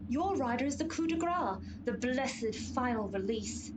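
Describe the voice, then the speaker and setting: A haughty horse of Pestilence, acted by a woman, speaks in a fairly high voice over a noisy bed of sound. The delivery is pompous and exasperated, like a put-upon lecturer in a radio comedy. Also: lively intonation, a little slow. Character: haughty, long-suffering female horse of Pestilence, bossy explainer. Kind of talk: comic radio play acting.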